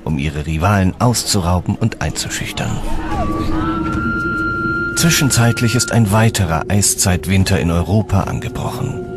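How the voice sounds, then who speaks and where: A man reading scripted documentary narration in a measured, serious way. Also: sits low. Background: background music.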